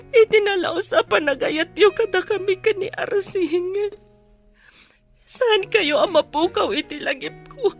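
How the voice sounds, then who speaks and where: High, tearful grieving daughter. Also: female voice, exaggerated voice. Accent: northern Philippine. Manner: pleading, drawn-out emotional delivery. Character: grief-stricken, remorseful adult daughter mourning her mother. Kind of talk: radio melodrama acting.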